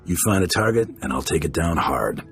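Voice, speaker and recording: A man's voice: deep voice, big pitch swings, brisk, fairly bright tone, exaggerated voice. A man playing a grim bounty hunter who speaks in terse, cold, threatening lines in video game dialogue. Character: grim male bounty hunter, curt and menacing. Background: faint game music.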